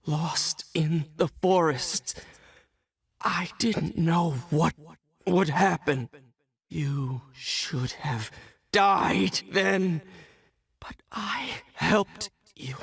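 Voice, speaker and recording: A man's voice: high-pitched, vocal fry, a bit bright, husky, full character voice. A man playing a sorrowful, grave warrior haunted by guilt, solemn and brooding in a video game. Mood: remorseful, anguished. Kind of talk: dramatic video game narration. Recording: faint game music behind.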